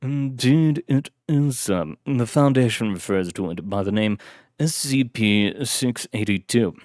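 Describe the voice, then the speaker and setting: A man voicing an elderly, distinguished male butler with a British accent, who speaks in a formal, measured and polite way. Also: mid-range voice, darkish tone, dry recording, clean studio sound, lightly acted. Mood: courteous.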